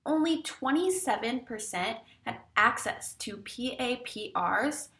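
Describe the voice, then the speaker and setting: A woman's voice: on the high side, animated, slow, bright, forceful tone. Woman giving a formal address, echoey room. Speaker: industrial hygienist and nurses' union spokesperson. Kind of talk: prepared public address, read statement.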